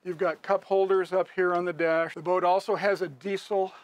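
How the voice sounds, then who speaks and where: A man's voice: flat delivery, normal speed, dry recording. An older man as a salesman, walking through a boat in a large indoor showroom with a clip-on mic, talking in a friendly, plain way. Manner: friendly, plain sales pitch with some fillers. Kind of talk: product walkthrough.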